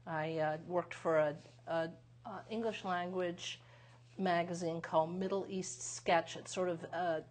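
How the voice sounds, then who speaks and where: Low-voiced woman telling her story. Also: steady tone, darkish tone. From United States (Massachusetts). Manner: unhurried, reflective storytelling with frequent uh pauses. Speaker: guest, lawyer and author. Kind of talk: conversational interview. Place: TV studio.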